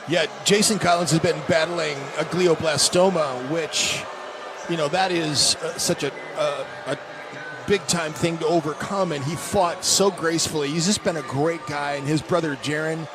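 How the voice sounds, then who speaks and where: A man's voice: a little high, steady tone, normal speed. An American man working as a radio basketball analyst, giving conversational live analysis. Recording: very noisy live arena broadcast. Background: loud arena noise.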